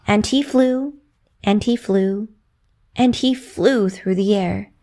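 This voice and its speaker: A woman narrating a children's bedtime story in a rhythmic, expressive way with dramatic emphasis. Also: mid-range voice, slow pace.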